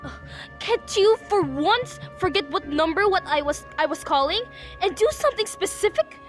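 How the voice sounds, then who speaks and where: A woman's voice: very high-pitched, expressive, normal speed, soft background music. A young woman playing a frantic, imperious married woman in a suspense radio drama, melodramatic and demanding. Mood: exasperated. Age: young adult. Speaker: student actor in a class radio drama.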